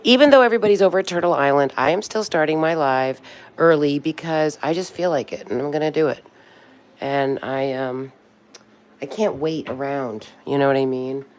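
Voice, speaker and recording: Low-voiced woman in her sixties rambling live. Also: moderate pace, darkish tone. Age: 60s. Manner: chatty, sings snatches of birthday songs to herself. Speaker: livestream host. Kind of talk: rambling casual livestream chat.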